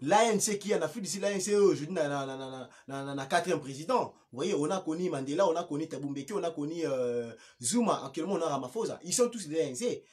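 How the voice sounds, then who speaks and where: A man's voice: on the high side, animated, quick pace, few pauses, a little mellow. A man with a Congolese accent giving impromptu political commentary in an emphatic, repetitive way, often stumbling and restarting. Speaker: political party activist and commentator.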